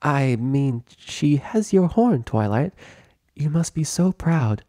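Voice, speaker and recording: Man doing a timid, high, delicate pony mare. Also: animated, slow pace, dark tone, dry recording, studio quality. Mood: tender, awkward. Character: timid, tender young female pony, soft-spoken and kind.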